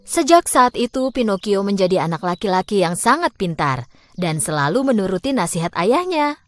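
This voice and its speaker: A woman narrating a scripted fairy tale for children in an expressive, clearly phrased way. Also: mid-range voice, normal speed, no echo. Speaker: storyteller.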